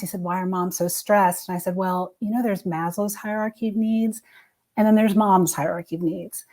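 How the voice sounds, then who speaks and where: A woman's voice: medium pitch, a little mellow. A woman researcher on a discussion panel, telling her story in an upbeat way.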